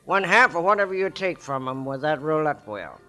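An actor in a 1940s radio drama playing a sly, smug frontier gambler who schemes and sneers. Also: male voice, on the high side, sing-song, a little fast, music in the background. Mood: scheming.

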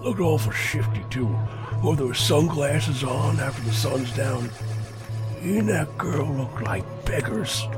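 A man's voice: fairly high pitch, animated, a little slow, music in the background. An actor playing a chatty, folksy older man, a wry and self-mocking regular at the movie theater. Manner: folksy, rambling, chuckling.

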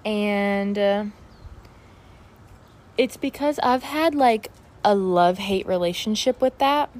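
A woman's voice: medium pitch, takes it slowly, a little mellow. A woman of twenty-one, chatting candidly on her solo podcast, full of fillers. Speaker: podcast host. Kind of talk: casual solo podcast chat. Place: outdoors in her backyard.

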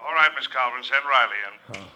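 An actor playing a brisk, proper, genial middle-aged boss in an old radio comedy. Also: male voice, mid-range voice, background noise, close to an everyday voice. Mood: businesslike. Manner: businesslike, proper. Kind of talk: old-time radio situation comedy acting.

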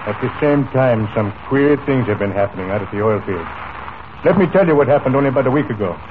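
A man's voice: mid-range voice, animated, close to an everyday voice. An actor playing a genial, folksy, wealthy oilman in an easygoing 1940s radio style. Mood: grave, intrigued. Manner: easygoing, folksy, deliberate storyteller. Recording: noisy, tinny old radio recording.

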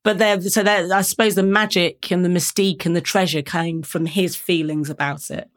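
Reflective British woman, low voice. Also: steady tone, moderate pace. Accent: British English. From England, Reading. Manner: warm, reflective, with frequent restarts and 'you know'. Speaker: guest. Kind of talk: conversational podcast interview.

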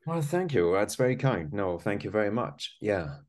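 A man's voice: on the low side, big pitch swings, moderate pace. A man from France with a French accent, a podcast guest telling his life story in an earnest, conversational way. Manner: earnest, many small restarts and "you know".

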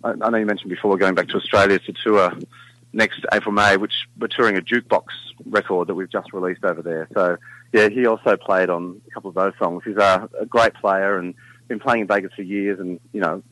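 A middle-aged man with a deep baritone talks in an easygoing, meandering way, often restarting his sentences. His voice is fairly low and comes across close and dry, with no room sound. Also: a little fast, no room sound, poor audio. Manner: relaxed, rambling with many fillers and restarts. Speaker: singer in a vocal group, guest. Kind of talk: casual interview chat.

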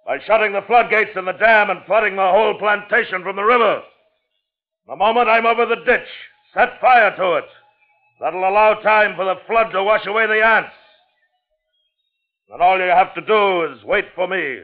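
An actor playing a defiant, stubborn plantation owner in a 1950s radio drama, speaking with clipped, resolute authority. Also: male voice, high-pitched, normal speed, natural voice. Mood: rousing. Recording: muffled, phone-like 1950s radio recording.

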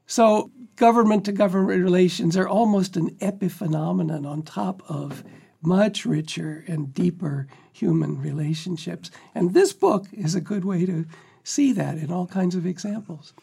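A man with a high, heavily gravelly voice speaks in an interview. His tone is husky, and he talks earnestly and reflectively as one of the book's editors. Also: moderate pace, dark tone. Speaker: book editor. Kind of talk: conversational interview.

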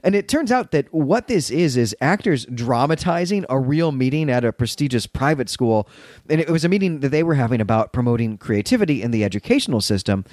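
A man's voice: medium pitch, very animated, brisk, a little mellow, no room sound, clean studio sound. A man co-hosting a podcast, conversationally recapping and analysing a story, explaining and rephrasing his points as he goes. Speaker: podcast co-host.

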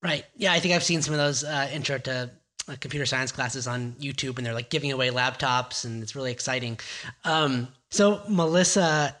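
A man's voice: medium pitch, moderate pace, pushed and bright. A man hosting a podcast, introducing the show with upbeat energy and asking long, rambling questions. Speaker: podcast host.

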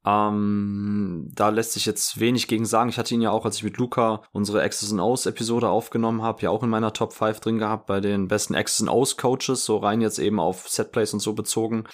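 A man's voice: lowish voice, little pitch movement, brisk. A man giving casual sports analysis as a podcast guest, wordy and informal, with plenty of English jargon.

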